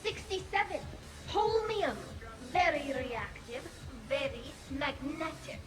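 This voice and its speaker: An earnest, literal-minded alien woman from a video game. Her voice is high with a lot of melodic movement. Music plays underneath. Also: lively intonation, slow.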